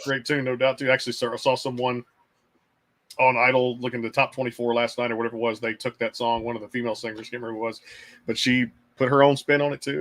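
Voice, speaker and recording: A man's voice: medium pitch, fairly bright tone. A middle-aged man hosting an interview show, talking in a rambling way and repeating phrases. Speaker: podcast host.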